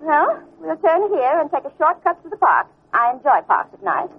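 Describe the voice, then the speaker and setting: A woman playing a prim, imperious middle-aged New England schoolteacher with an American accent, brisk and unflappable, in old-time radio drama style. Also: sits high, big pitch swings. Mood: breezy, stubborn. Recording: tinny, band-limited vintage radio recording.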